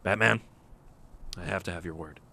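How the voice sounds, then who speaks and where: A principled police captain from a noir radio play, acted by a man. His lowish voice is gravelly, steady and earnest, over faint ambient noise. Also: full character voice. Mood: earnest, firm. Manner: measured, earnest. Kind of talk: noir radio drama acting.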